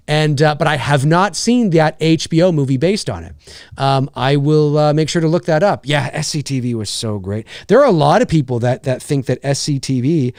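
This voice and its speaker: A man in his forties from Canada, hosting a live Q&A stream and chatting casually in an excitable, rambling way. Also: mid-range voice, no echo, studio quality. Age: 40s. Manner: excitable, rambling, reads donor messages aloud.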